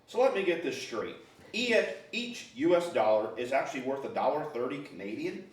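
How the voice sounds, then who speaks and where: A man's voice: mid-range voice, very animated, a little fast, close to an everyday voice. A man reading a joking, needling fishing buddy at a screenplay table read. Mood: teasing. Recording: echoey room, distant mic, light noise.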